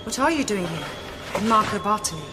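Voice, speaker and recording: A woman's voice: mid-range voice, animated, a little fast, background noise, lightly acted. A woman playing a wry, self-assured British adventuress in a video game cutscene, arch and politely sardonic. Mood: probing. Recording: long hall reverb, faint music.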